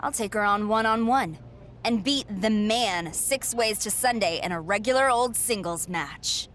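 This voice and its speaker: A woman's voice: mid-range voice, unhurried, fairly bright tone, a little hiss or hum, close to an everyday voice. A woman playing a cocky, sarcastic champion wrestler with a snide, self-assured manner in a video game cutscene. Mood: confident. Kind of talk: scripted game cutscene dialogue.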